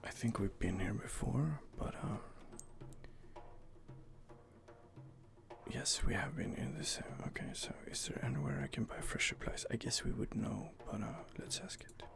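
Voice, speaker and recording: A man whispering soft, hesitant commentary while playing a fantasy game, close to the microphone. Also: fairly low pitch, expressive, unhurried, gravelly, pauses often, music in the background. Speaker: gameplay streamer. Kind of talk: whispered ASMR gameplay commentary.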